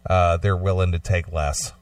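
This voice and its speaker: A man's voice: sits low, fairly even intonation, normal speed, dry recording, far from the mic. A man co-hosting a radio talk show, reading out news items and adding casual commentary.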